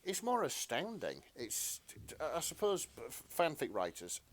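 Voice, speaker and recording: A man co-hosting a podcast in casual, comedic chat, talking in a halting, rambling, self-deprecating way. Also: on the high side, huge pitch swings. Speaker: podcast co-host.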